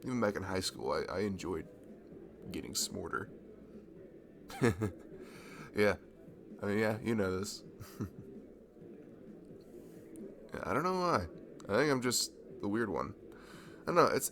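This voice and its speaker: A man's voice: sits low, vocal fry, noisy background. A man playing a friendly, easily flustered college-age guy in a naturalistic ASMR roleplay, hesitant and self-deprecating. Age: young adult.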